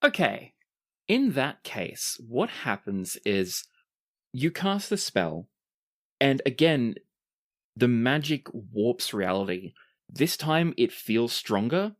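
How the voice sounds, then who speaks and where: Game master doing tabletop rpg narration, dramatic descriptions w casual asides, medium pitch. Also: very animated, slow.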